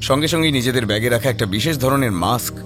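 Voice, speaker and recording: A man's voice: medium pitch, lively intonation, fast. Male horror story narrator over faint music.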